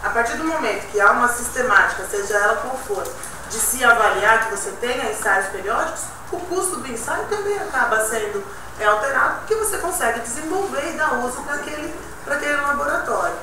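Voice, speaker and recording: A woman's voice: fairly high pitch, lively intonation, fairly quick. Woman explaining costs in an echoey room. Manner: explanatory, argumentative, with many "né". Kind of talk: discussion at a meeting. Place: meeting room. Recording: echoey room from far off, noisy.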